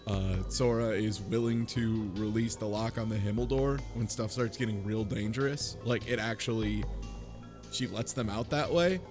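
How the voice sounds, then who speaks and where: A man's voice: lowish voice, normal speed, music in the background. A man chatting casually and speculatively in a group, swearing freely and acting out imagined lines as he goes. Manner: sweary, acts out imagined quotes. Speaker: podcast panelist discussing a visual novel.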